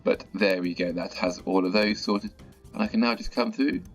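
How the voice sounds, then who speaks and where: A man with a croaky, slightly sore-throated voice, chatting casually as he plays and comments on a bus management game. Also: lowish voice, fairly even intonation, normal speed. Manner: chatty, mildly exasperated at stuck buses. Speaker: gaming channel host. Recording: dull lo-fi audio with faint music.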